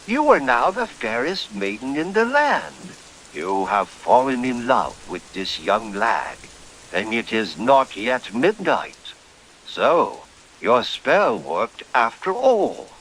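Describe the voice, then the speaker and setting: Swooping, slow gentleman barrister. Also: medium pitch, very animated, slight background noise, low-quality recording. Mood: amazed. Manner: eloquent, formal, theatrical. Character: Lord Kelby, suave scheming gentleman barrister, male. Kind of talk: old-time horror radio play acting.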